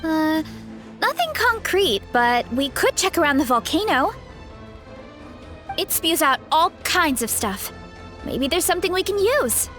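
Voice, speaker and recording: A woman voicing a gentle, modest but brave young female warrior in a video game, speaking sincerely and earnestly. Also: very high voice, big pitch swings, measured pace, pauses often, heavily acted. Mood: helpful. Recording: game music behind the voice.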